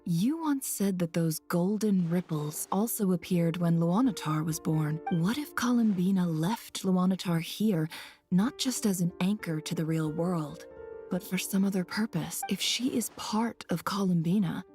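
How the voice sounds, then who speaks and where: A woman playing a proud, composed boss in a video game, speaking in a measured, cool, wry and resolute way. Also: on the low side, darkish tone, soft background music, lightly acted. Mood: grave, resolute. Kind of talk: dramatic video game dialogue.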